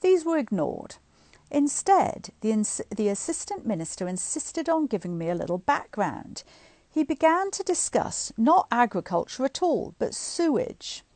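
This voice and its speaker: A middle-aged woman reading a book aloud to online listeners in an expressive, informal, chatty way. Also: on the high side, unhurried, no room sound. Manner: informal, chatty asides between expressive read passages. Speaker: guest reader of a bedtime story series. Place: indoors at home, on a live online stream.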